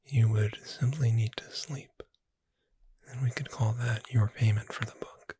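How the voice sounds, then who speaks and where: A performer voicing a friendly witch bookseller in a soft-spoken ASMR roleplay, gentle, unhurried and lightly wry in a breathy near-whisper. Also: medium pitch, fairly even intonation, dry recording. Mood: gentle, persuasive.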